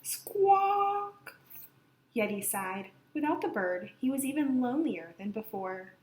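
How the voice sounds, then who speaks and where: A woman reading a children's picture book aloud with expressive, dramatic emphasis. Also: medium pitch, moderate pace. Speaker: storybook reader. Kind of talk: animated children's read-aloud narration. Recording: echoey room, slight background noise.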